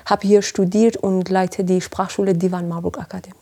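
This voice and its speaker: A woman's voice: medium pitch, little pitch movement, brisk, warm tone, slightly rough. A woman from Iran, heads a language school, answering interview questions hesitantly with many fillers. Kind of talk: podcast interview.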